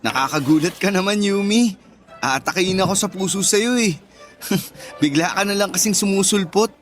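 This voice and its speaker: A man's voice: sits high, normal speed, faint music behind, natural voice. An actor playing a shy, modest man of twenty-one in a radio drama, casual and bashful. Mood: bashful, surprised. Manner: bashful, self-deprecating, casual. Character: shy, modest introverted young male office worker, twenty-one. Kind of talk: Tagalog radio drama dialogue.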